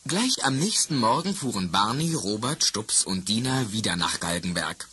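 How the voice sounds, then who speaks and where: A lively, bright-toned storyteller leading a children's detective radio play. His somewhat low voice moves freely up and down and stays clear and even from line to line. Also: normal speed, faint music behind. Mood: calm. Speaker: narrator. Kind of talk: scripted storybook narration for children.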